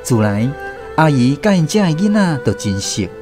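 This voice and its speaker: An actor with a Taiwanese accent narrating a family tale as the storyteller in a radio drama. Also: male voice, fairly high pitch, very animated, normal speed, music in the background.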